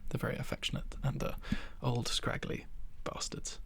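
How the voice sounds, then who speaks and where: A man of twenty-one chatting in a soft-spoken ASMR style in his bedroom, rambling and self-deprecating with soft laughs, close to the microphone. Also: normal speed. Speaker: ASMR creator.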